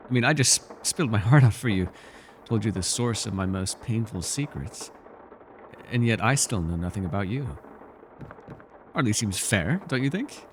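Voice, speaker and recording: A man acting as an ancient elf with a low voice, in an intimate fantasy ASMR roleplay. He is wistful and measured, with a gently teasing streak. There is noticeable noise behind the voice. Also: expressive, close to an everyday voice. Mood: gently curious. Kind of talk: ASMR character roleplay, intimate scripted monologue.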